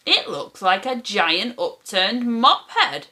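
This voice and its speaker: A woman voicing a gruff but kindly old grandfather in a children's storybook, blunt, teasing and matter-of-fact. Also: mid-range voice, sing-song, slow, sharp tone, exaggerated voice. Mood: musing. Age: older. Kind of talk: children's storybook character reading.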